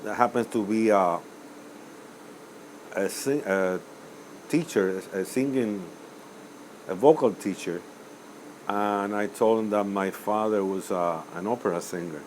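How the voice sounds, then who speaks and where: Older man, lowish voice, rambling, hesitant, faint room hiss. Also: a little slow, long pauses, a little mellow, poor audio. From Cuba. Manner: rambling, hesitant with many uhs and self-corrections. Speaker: musician guest. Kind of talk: interview answers.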